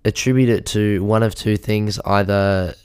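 A young man with a hoarse voice hosting a podcast, delivering a rambling, deadpan, self-pitying comedic monologue into a mic with a pop filter. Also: fairly low pitch, unhurried, no room sound, studio quality. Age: young adult. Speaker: podcast host.

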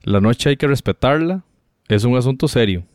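A man's voice: mid-range voice, animated, moderate pace, a little mellow, no echo, clean studio sound. A man hosting a conversational talk show, chatting and reading out quotations. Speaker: host.

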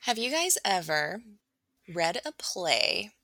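A woman co-hosting a podcast, cheerful and animated in casual chat. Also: medium pitch, slow. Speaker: podcast co-host.

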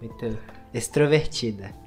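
A man's voice: mid-range voice, measured pace, a little mellow. Man with a cold, teasing podcast host. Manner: teasing, laughs often. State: has a cold. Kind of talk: casual couple chat. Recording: laptop mic, faint music.

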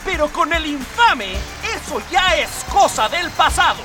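Casting: slick male TV huckster, high pitch, stretched-out salesy lines, loud jingle music underneath. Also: animated, measured pace, far from the mic. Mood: gushing. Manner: exaggerated salesman delivery. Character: gushing, slick TV pitchman and newsreader. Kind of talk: parody TV advertising in a radio drama.

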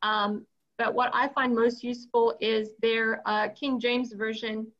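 Flat-toned higher-voiced woman, muffled audio. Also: measured pace. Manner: giggly, informal. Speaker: class participant. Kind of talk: casual class discussion.

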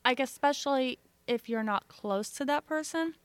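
An easygoing woman co-hosting a podcast, her voice a touch higher than most. The sound is dry and close, with no room around it. She chats casually and agrees along with her partner. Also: moderate pace, smooth, no room sound. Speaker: podcast co-host. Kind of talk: casual chat.

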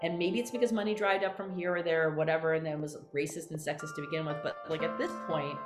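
Woman with animated chat in an echoey room. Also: low voice, quick pace, over music. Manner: animated, acts out quoted voices, many restarts. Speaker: playwright guest. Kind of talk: candid conversational interview.

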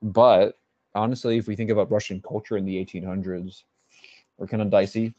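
A man's voice: lowish voice, fairly even intonation, fairly quick, a little mellow, dry recording, highs cut off. A man co-hosting a podcast, chatting casually about music theory, thinking aloud and hedging as he goes. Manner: thinks aloud, hedges and restarts. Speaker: podcast co-host. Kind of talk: casual music analysis chat.

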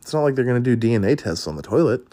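A man's voice: mid-range voice, animated, rapid-fire, warm tone, dry recording. A man hosting a podcast, chatting casually through would-you-rather questions, rambling and swearing with frequent laughs. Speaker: podcast host.

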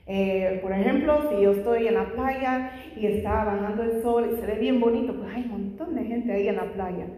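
A woman preaching a Bible study in Spanish, her second language, sprinkling in frequent hallelujahs and praises, fervent in prayer. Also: medium pitch. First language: not Spanish. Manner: frequent "aleluya" and "gloria a Dios" interjections; fervent prayer, louder and faster. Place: large echoey church hall. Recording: echoey hall with long reverb.

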